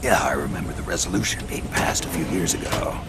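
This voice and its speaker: An actor playing a prematurely aged, weary soldier with a gruff, gravelly voice and a terse, grim manner in a game cutscene. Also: male voice, deep voice, a little fast, very noisy, lightly acted. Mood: dry. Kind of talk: dramatic video game cutscene acting.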